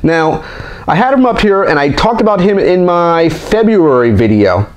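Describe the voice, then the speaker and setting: A man's voice: fairly high pitch, very animated, normal speed. A man hosting a toy collector vlog, talking casually and candidly into a desk microphone, often restarting and voicing his frustrations. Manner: chatty, candid, frequently restarts and vents frustration. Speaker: toy collector channel host. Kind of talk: casual monthly update vlog.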